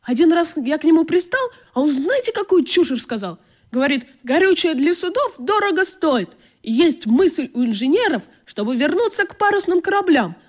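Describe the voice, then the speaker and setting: Lively, high-voiced earnest boy. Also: normal speed. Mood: animated. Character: bashful, earnest boy, young club instructor. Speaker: actor in a radio play. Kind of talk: radio drama acting.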